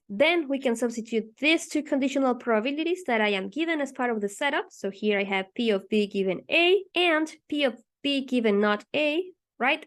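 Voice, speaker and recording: Woman calmly walking through a probability problem. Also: on the high side. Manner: methodical, measured explanation with rhetorical questions. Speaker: teacher. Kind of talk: explaining a math problem while writing on paper.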